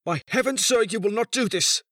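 A man's voice: high voice, a little fast, fairly bright tone, no room sound, clean studio sound, pitched above the performer's own voice. A man voicing an earnest, protective young seafarer, pleading passionately in a dramatic audiobook. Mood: indignant. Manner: impassioned, pleading. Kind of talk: dramatic audiobook character reading.

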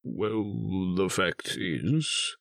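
Man voicing a slow, gruff, friendly bear. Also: lowish voice, wildly swooping pitch, no room sound, clean studio sound, distant mic, heavily acted. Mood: hesitant. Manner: hesitant, ponderous.

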